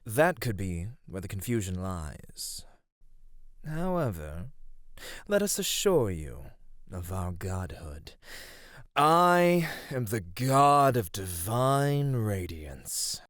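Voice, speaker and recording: A man playing a gentle, flirty immortal god of radiance in an ASMR roleplay, speaking softly and formally. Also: fairly low pitch, very animated, slow, dry recording, clean studio sound, full character voice. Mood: regal, tender. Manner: soft, formal, tender speech.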